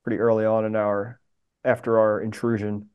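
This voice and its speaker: A man's voice: lowish voice, little pitch movement, moderate pace, smooth, phone-quality sound. Man chatting casually in short, joking phrases. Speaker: podcast guest, screenwriter. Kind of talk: casual chat.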